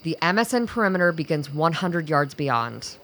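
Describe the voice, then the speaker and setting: An actress playing a stern, unflappable resistance operative in a radio play, giving orders in a deliberate, commanding way. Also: female voice, sits low, very animated, a bit bright, a little hiss or hum, exaggerated voice. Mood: stern, patient.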